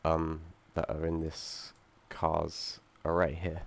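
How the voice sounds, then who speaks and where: A young man with a croaky voice from feeling unwell, explaining his game project and code with frequent ums and restarts and the odd dry aside. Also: a bass voice, monotone, slow pace. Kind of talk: screen-recorded code walkthrough.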